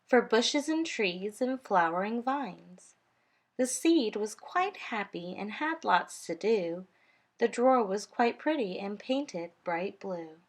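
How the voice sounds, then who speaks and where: A woman reading a children's storybook aloud in an expressive, deliberate, rhythmic way. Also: medium pitch. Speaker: storytime reader.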